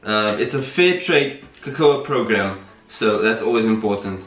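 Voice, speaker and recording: A man's voice: on the low side, expressive, unhurried, fairly bright tone, far from the mic. Congested young man with a nasal voice. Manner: playful, teasing. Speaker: vlog co-host. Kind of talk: casual candy taste-test chat. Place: small bare room indoors, seated on a couch. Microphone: camera mic at a distance. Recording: roomy echo, from the mic, faint music.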